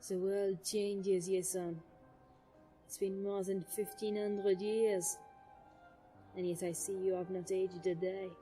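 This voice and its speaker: Woman as solemn, slow-spoken ancient sorceress. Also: mid-range voice, level tone, mellow, close to an everyday voice, low-quality recording. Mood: wistful. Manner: measured, literary, solemn. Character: ancient nature sorceress, solemn, brooding, haunted narrator. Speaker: first-person narrator. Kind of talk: dramatic scripted narration in an audio drama. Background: music.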